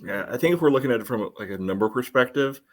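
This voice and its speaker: A man giving casual sports analysis as a podcast panelist, hedging and reasoning things through in a measured way. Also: mid-range voice, animated.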